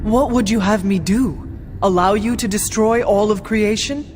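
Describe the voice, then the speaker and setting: A woman playing a stoic, resolute warrior in a video game cutscene, with a formal, measured, deadpan delivery. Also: medium pitch, slight background noise, natural voice. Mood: defiant.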